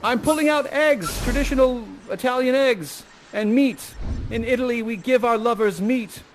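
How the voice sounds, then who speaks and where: Man acting a high, ardent young Shakespearean lover. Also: a little hiss or hum, low-quality recording. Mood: excited. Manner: grand, drawn-out exclamations.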